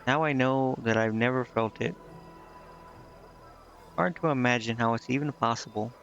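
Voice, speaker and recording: A man's voice: mid-range voice, moderate pace, a bit bright. A man streaming a game, commenting casually and reading dialogue aloud in a hesitant way, stumbling over words. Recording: slightly muffled, music behind. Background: game music.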